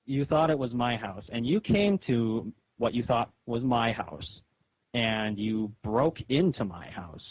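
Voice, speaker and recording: A man arguing on a phone call in a halting, repetitive, reproachful way, as a comic artist. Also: mid-range voice. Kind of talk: argumentative phone conversation. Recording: dull roomy phone call recording.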